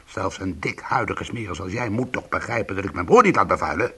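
Low, husky, defiant ex-convict. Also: male voice, lively intonation, fairly quick, fairly bright tone, heavily acted. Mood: angry, defiant. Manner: sardonic, combative. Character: bitter, defiant small-time ex-convict, protective of his brother. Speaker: lead actor and first-person narrator. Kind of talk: Dutch radio play acting and narration.

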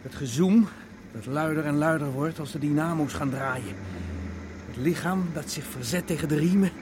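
A high-pitched, nervy outcast executioner acted by a man in a radio play. He hesitates, stammers and keeps defending himself. A faint rumble of a car runs behind him. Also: moderate pace, natural voice. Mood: grim, uneasy. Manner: hesitant, stammering, self-justifying. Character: nervous, defensive executioner, a lonely drinking outcast. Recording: noisy radio play recording, car sounds. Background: car engine and road noise.

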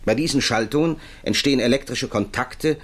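An actor playing a cautious, sober computer expert in a 1960s German radio drama, explaining precisely and matter-of-factly. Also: male voice, mid-range voice, a little fast, close to an everyday voice. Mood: didactic. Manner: precise, didactic, matter-of-fact.

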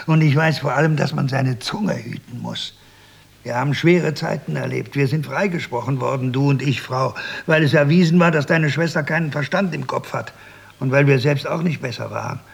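An actor playing a grumpy, pedantic eighty-year-old husband, querulous and self-important, in a German radio play. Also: male voice, medium pitch, normal speed, vocal fry, breathy edge. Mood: self-important, grumbling. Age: older.

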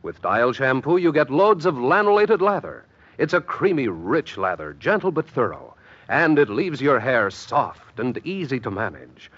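A man's voice: medium pitch, big pitch swings, normal speed, fairly bright tone, breathy edge. A man reading a scripted 1950s radio advertisement as the announcer. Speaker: commercial announcer. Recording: muffled vintage radio recording.

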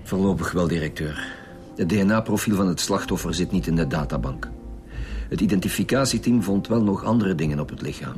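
A male actor as a Flemish homicide chief with a low, authoritative voice. He is brusque and commanding, in a radio play with clear music behind the dialogue. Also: fairly quick, a little mellow, close to an everyday voice. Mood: matter-of-fact. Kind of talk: radio drama acting.

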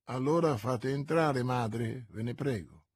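Guy doing a cool scheming lady from an old novel, watchful, slow talker. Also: mid-range voice, breathy edge, no echo, clean studio sound. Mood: controlled unease. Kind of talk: audiobook character voice, classic novel.

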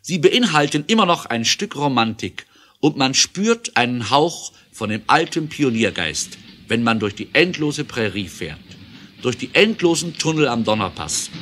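Casting: male narrator, medium pitch, sharp and animated, scripted historical storytelling for audio drama. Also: slight background noise. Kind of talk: scripted documentary narration for an adventure radio play.

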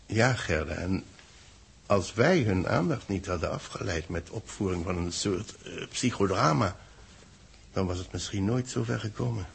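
An actor playing a grave space-mission official in a 1960s radio play, speaking solemnly and deliberately in a husky, gravelly voice. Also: male voice, sits low, expressive, heavily acted. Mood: grave, resigned.

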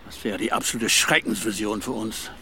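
An actor playing an aging Northern Irish ex-soldier, wry, nostalgic and evasive, in a German radio crime drama. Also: male voice, on the low side, steady tone, fairly quick, bright, forceful tone, slight background noise. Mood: melancholy. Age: older.

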